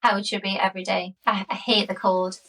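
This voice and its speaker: A woman's voice: mid-range voice, level tone, fairly quick, fairly bright tone, recorded from a distance, slight background noise, poor audio. A woman in her late thirties from the Newcastle area with a Geordie accent, chatting casually as a podcast guest. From Newcastle area, England. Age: late 30s. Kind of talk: casual chat.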